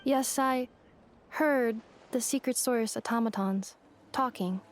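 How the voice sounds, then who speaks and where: A precise robot girl in an anime game. The voice sits fairly high, deadpan and formal, over clear background music. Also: slow. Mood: calm. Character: polite female robot, precise and unemotional. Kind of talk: anime video game voice acting.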